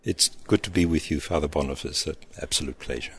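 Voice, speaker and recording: A middle-aged man, an abbot speaking in an interview in a thoughtful, halting way with frequent restarts. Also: a bass voice, steady tone, slightly rough. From Egypt, raised in Australia. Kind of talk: reflective interview answers.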